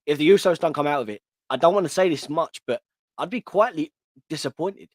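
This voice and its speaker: A man's voice: fairly high pitch, a little fast, no echo. A man co-hosting a livestream, chatting in an enthusiastic, rambling way. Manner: enthusiastic, rambling, laid-back. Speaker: co-host of a wrestling livestream. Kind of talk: casual livestream chat.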